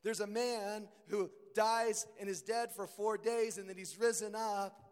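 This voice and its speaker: A man preaching a sermon, his voice hoarse and strained, earnest and rising to emphatic peaks. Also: sits high, steady tone, a little slow. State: strained voice, deeper than usual. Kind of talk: sermon reading and expounding scripture. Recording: long hall reverb.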